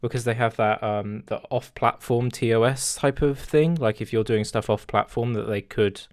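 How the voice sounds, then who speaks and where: A man's voice: mid-range voice, fairly even intonation, normal speed, no room sound. A man in his twenties hosting a podcast in a casual, rambling chat with many fillers and laughs. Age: 20s. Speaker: podcast host.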